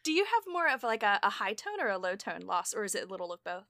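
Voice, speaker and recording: A woman hosting a casual conversational interview, animated and self-deprecating, often laughing as she talks. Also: mid-range voice, quick pace, no echo, studio quality. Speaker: podcast host and audio drama creator.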